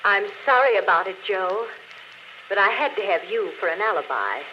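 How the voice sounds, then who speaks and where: A woman's voice: mid-range voice, lightly acted. Actress as a dead woman confessing murder. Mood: coolly confessing. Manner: calm, deliberate confession, later frightened. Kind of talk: 1940s radio acting. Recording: dull old radio broadcast with faint hiss.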